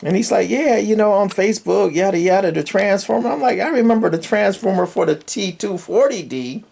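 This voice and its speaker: An older man with a gravelly voice, giving a casual, rambling hobbyist walkthrough with folksy, dryly sarcastic asides. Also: sits high, animated, a little fast, darkish tone. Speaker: radio hobbyist presenter.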